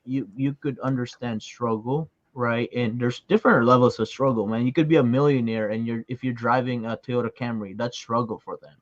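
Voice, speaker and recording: A man's voice: medium pitch, steady tone, brisk, hardly any pauses, no echo. A man hosting a casual interview, agreeable and reflective, with many fillers. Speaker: podcast host. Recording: dull dry lo-fi sound.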